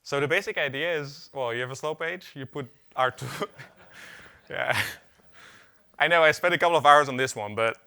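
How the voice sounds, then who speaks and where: Bright-voiced man giving a tech talk. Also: medium pitch, moderate pace, gravelly, clear, resonant tone. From Netherlands. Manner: casual, filler-heavy, wry asides. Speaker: conference speaker. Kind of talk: technical conference talk. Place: conference hall.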